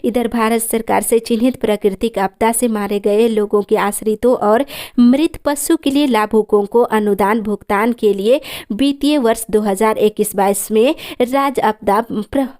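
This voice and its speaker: A woman reading a scripted regional news bulletin in a steady, formal newsreader manner. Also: on the high side, moderate pace. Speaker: news reader.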